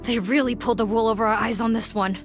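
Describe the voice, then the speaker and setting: A woman's voice: on the high side, fairly even intonation, quick pace, over music, close to an everyday voice. A woman playing a businesslike, protective female officer in a video game, formal and firm in manner. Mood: resigned, frustrated. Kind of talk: scripted video game voice acting.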